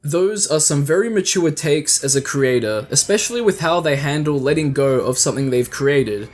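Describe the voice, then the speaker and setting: Man narrating a video essay, faint music. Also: a little high. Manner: conversational with playful asides. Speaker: YouTube host.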